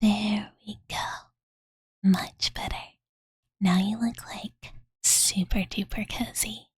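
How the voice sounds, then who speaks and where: A woman's voice: medium pitch, fairly even intonation, slow, slightly rough, dry recording. A cozy young dragon girl, played by a woman, whispers very breathily, right up close in a dry space. She takes her time in an ASMR bedtime roleplay, sounding cutesy, ditzy and doting. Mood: soothing, teasing. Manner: ditzy valley-girl filler talk, cutesy third-person self-reference. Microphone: close Blue Yeti condenser mic.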